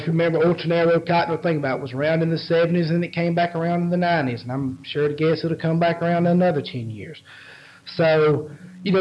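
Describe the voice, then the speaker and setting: A nasal, congested man presents research at a conference, stopping often with ums and repeated words. His voice sits a little high for a man. The recording sounds dull and lo-fi. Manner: halting, frequent ums and restarts. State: head cold, nasal. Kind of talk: conference talk with slides. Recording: dull lo-fi audio.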